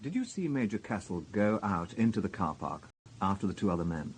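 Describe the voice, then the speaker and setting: Wry inspector, lively low voice. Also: male voice, brisk, husky, full character voice, rough recording. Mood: wry, probing. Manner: dry, questioning, ironic. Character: shrewd, wry, educated male police inspector. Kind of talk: radio drama acting.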